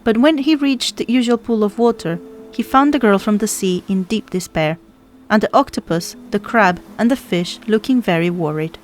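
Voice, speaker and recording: A woman's voice: medium pitch, normal speed. A woman reading a children's story aloud as scripted narration, as an audiobook narrator does. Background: music.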